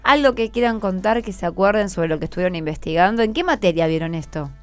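A woman's voice: medium pitch, fast talker, clear, resonant tone. An Argentine woman hosting a radio interview, prompting and encouraging with short follow-up questions. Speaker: radio host interviewing schoolchildren. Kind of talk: guided question-and-answer interview. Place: community radio programme. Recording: music playing behind the voice. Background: music.

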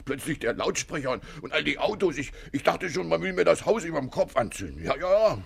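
Flustered old grandpa, husky n whiny, voice swooping around, stammers, old radio play. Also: male voice, a little high, big pitch swings, fairly quick, heavily acted. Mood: agitated, plaintive. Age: older. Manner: stammering, repeating words, plaintive. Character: flustered, whining harmless old grandpa, timid and anxious. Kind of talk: German radio play acting, 1950s.

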